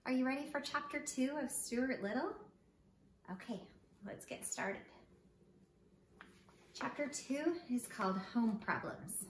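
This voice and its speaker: A woman's voice: high voice, expressive, measured pace, long pauses. A woman reading a children's storybook aloud expressively, speaking to young listeners as a teacher would. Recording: echoey room with background noise.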